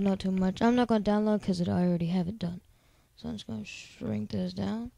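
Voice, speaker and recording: A man with a high-pitched, squeaky voice, casually talking through a software tutorial in a rambling, chatty way full of filler words. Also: no room sound. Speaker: tutorial host. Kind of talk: casual screen-recording tutorial.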